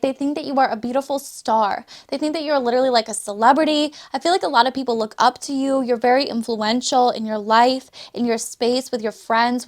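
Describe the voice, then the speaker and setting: A woman giving a casual, chatty tarot reading, losing her voice a little, with frequent fillers and laughs. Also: high voice. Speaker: tarot reader.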